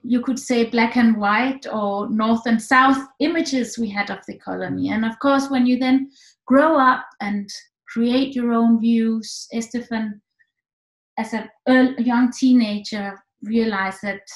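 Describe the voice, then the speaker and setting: A woman's voice: fairly high pitch, echoey room, rough recording. A middle-aged woman from Denmark, a film director answering questions on a video call, thoughtful and full of restarts and fillers. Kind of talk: online film talk answers. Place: home room with echo. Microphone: computer mic on a video call.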